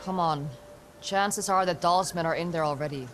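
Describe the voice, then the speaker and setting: A woman's voice: on the low side, moderate pace, faint music behind, natural voice. An actress playing a guarded, determined young woman adventurer in a fantasy video game, terse and matter-of-fact. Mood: resolute. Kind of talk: dramatic video game acting.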